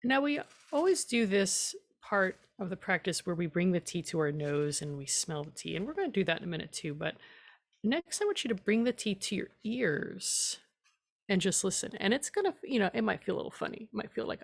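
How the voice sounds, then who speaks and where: A woman leading a guided meditation as a teacher, speaking in a calm, unhurried way with gentle instruction and long pauses. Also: medium pitch, gravelly.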